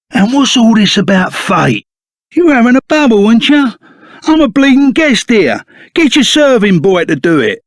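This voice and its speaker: An actor playing a rough, loyal, excitable henchman in a video game, with a gravelly voice, a Cockney accent and blunt, chummy, slangy talk. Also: high-pitched, dry recording, studio quality, heavily acted. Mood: blunt, curious. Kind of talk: video game character voice acting.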